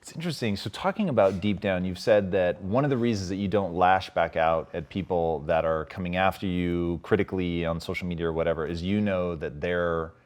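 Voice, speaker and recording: A man's voice: sits low, brisk, few pauses. A man hosting an interview, asking questions in an emphatic, admiring way. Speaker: host.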